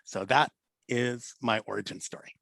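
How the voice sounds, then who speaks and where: A man's voice: mid-range voice, normal speed, creaky, no echo. A man in his forties, a chef, casually introducing himself on a video call with lots of fillers. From Philippines, raised in California. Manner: relaxed, rambling, many fillers. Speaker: senior sous chef.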